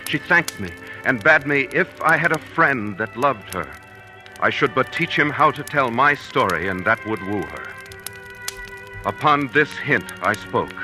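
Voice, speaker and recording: An actor playing a proud, noble Moorish general and devoted husband, declaiming in measured old radio Shakespeare style. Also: male voice, medium pitch, expressive, close to an everyday voice. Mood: stately, tender. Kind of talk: 1940s radio Shakespeare acting. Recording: old radio broadcast with music.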